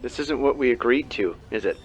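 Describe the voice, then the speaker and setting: An actor playing a worried, reproachful accomplice in an audio drama. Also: male voice, mid-range voice, expressive, a little fast, slight background noise, close to an everyday voice, poor audio. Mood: reproachful, urgent.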